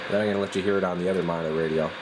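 A man in a radio workshop, talking casually and haltingly while he tests equipment. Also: low voice, very noisy. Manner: relaxed, halting, thinks aloud while working. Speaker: radio repair technician. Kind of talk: casual hands-on demo.

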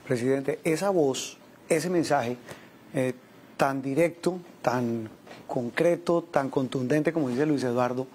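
A man's voice: mid-range voice, slow, a little mellow, a little hiss or hum. A man putting questions as a television news interviewer. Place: indoor furnished room.

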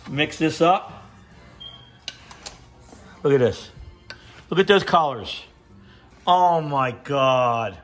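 A man's voice: on the high side, expressive, very slow, pauses often, a bit bright, soft background music. A man in his fifties filming himself on a phone while he cooks at home, raving about fresh ingredients and repeating his catchphrases. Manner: enthusiastic, repeats catchphrases, sniffs and tastes food while talking. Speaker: home cooking vlogger. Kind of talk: casual cooking vlog. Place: home kitchen indoors, then outdoor deck at a grill. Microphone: handheld phone camera.

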